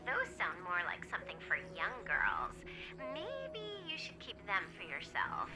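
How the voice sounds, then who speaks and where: A woman's voice: mid-range voice, wildly swooping pitch, unhurried, low-quality recording. A woman playing a teasing, cool young female hacker in a video game. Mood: casual, wry. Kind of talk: scripted video game voice acting. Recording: music under the voice.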